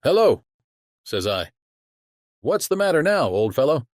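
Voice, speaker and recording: A man's voice: on the high side, sing-song, unhurried, dry recording, clean studio sound. A man playing a chatty sailor who tells a yarn to his shipmate in colloquial, exclamatory audiobook acting. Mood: confiding wonder.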